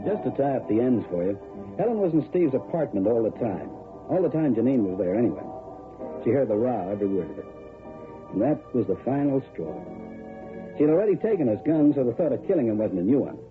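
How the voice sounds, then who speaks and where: A man's voice: medium pitch, big pitch swings, fast, lightly acted. Man acting a wry private eye, tinny old radio. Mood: explanatory. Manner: wry, methodical, slangy. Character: tough, sardonic male private detective. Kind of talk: old-time radio detective drama acting. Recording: tinny old radio recording, music behind.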